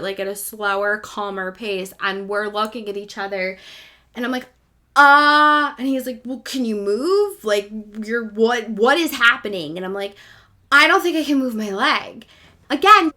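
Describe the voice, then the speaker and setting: A woman in her mid-thirties hosts a podcast with a bright, punchy voice at an average female pitch. She tells personal stories casually and candidly. Her delivery is animated and self-mocking. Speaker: podcast host.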